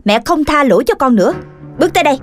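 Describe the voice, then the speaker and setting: A woman's voice: high-pitched, expressive, rapid-fire. A woman voicing a strict mother dog in a cartoon, stern and scolding with her son. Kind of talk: cartoon voice acting. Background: background music.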